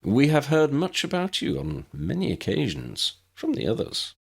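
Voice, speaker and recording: Give the storybook a courteous gentleman, voiced by a male reader. He sounds formal, polite and a little tentative. The pitch is lifted and lively. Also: normal speed, creaky, husky, full character voice. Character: courteous, formal non-magical man, careful and polite.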